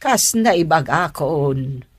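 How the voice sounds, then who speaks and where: A woman's voice: deep voice, expressive, takes it slowly, slightly rough, no room sound, heavily acted. A woman acting a fretful, advising mother in a radio drama. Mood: concerned, pleading.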